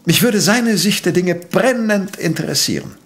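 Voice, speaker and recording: An actor playing a rational professor detective in an audio drama, speaking precisely and emphatically in a dismissive, pedantic way. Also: male voice, high-pitched, animated, normal speed, a bit bright. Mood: businesslike, commanding. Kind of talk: German audio drama acting.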